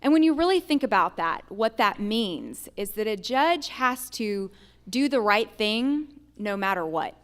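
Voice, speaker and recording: An American woman giving a measured, earnest answer as a judicial candidate at a public forum. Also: mid-range voice, lively intonation.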